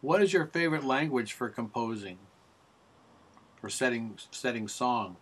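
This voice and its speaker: A man's voice: medium pitch, lively intonation, a little slow, fairly bright tone, husky. A male interviewer for a new-music group who asks long, rambling questions with many restarts over a video call.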